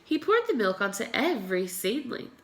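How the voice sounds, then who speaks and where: Female pre-K teacher, storybook read-aloud, highish voice, very animated intonation for young listeners. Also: normal speed. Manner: expressive, addressing young children.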